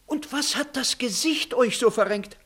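An actor playing a sly, needling, officious court clerk, declaiming pointedly with a husky voice in a 1960s German radio verse comedy. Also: male voice, extremely high pitch, normal speed, full character voice. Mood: mocking relish. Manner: pointed, mocking, animated declamation.

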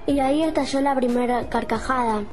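A woman narrating a children's radio play in an expressive storytelling manner. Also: high-pitched, fairly quick, music in the background. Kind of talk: storybook reading.

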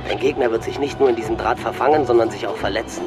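Male actor as matter-of-fact donor, radio play; medium-pitched letter delivery over clear music. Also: fast talker, natural voice. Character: male donor presenting makeshift weapons as gifts. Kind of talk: radio drama, letter-like monologue.

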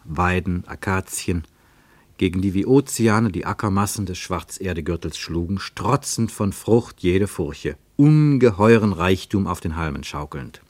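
A man's voice: lowish voice, no room sound. A man narrating a literary travel tale for a radio drama.

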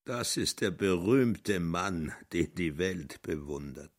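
A man's voice: sits low, expressive, slow, exaggerated voice. An actor playing a weary, sardonic man in a mental asylum, drawling his remarks in a radio play. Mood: weary mockery.

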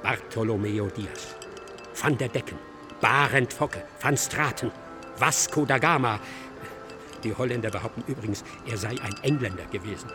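An actor playing an excitable, self-absorbed male scholar in a German radio drama, lecturing insistently and theatrically. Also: mid-range voice, moderate pace, music in the background, natural voice. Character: excitable, self-absorbed male scholar expounding his dark ghost-ship thesis.

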